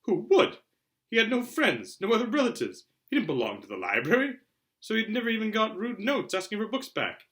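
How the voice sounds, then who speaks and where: A man narrating a children's novel aloud in an expressive storytelling style. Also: sits high, brisk. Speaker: audiobook reader.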